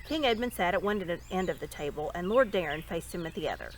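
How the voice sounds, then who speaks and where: A woman reading a story aloud in an expressive narrating style. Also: lowish voice, fast, a little hiss or hum, poor audio. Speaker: storybook reader.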